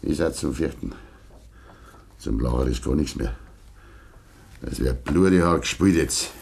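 An actor playing a stubborn, wounded older farmer with a Bavarian accent, gruff and terse in a 1950s dialect radio play. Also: male voice, very deep voice, a little slow, darkish tone, slight background noise, full character voice. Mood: grim, determined. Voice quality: gravelly, husky.

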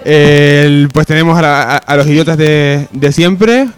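Male radio host, higher voice, casual comic banter, halting and bossy, faint music behind. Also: clean tone. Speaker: host of an amateur comedy radio show.

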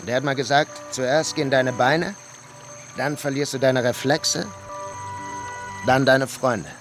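An actor playing a streetwise, self-assured boxing fan in a radio play, talking insistently in a conversational way. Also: male voice, mid-range voice, fairly even intonation, measured pace, over music, lightly acted.

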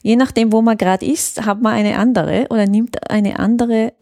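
A woman's voice: fairly high pitch, fairly even intonation, normal speed, darkish tone, no echo, studio quality. A woman explains things thoughtfully in a conversational interview, often searching for words. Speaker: interview guest, youth worker.

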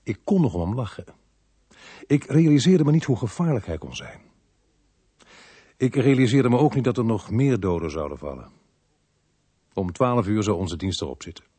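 An actor playing a sceptical, world-weary police inspector in a Dutch radio play, dry and sardonic. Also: male voice, on the low side, big pitch swings, moderate pace, long pauses, warm tone, slightly rough. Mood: reflective narration.

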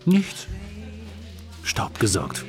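An actor playing a reluctant, withdrawn husband in a radio drama, answering curtly and warily. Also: male voice, extremely slow, over music. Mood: sullen. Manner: curt, low-key objections.

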